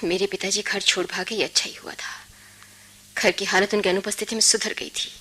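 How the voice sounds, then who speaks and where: Husky, bright-voiced embittered young woman. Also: medium pitch, steady tone, a little fast. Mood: bitter. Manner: earnest, emotional, dramatic delivery. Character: embittered, dutiful young working woman supporting her family. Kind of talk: Hindi radio play acting.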